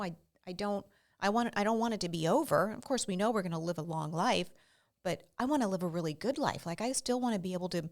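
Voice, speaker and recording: Lower-voiced woman in her early fifties. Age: early 50s. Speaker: podcast co-host, doctor. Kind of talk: casual, personal health conversation.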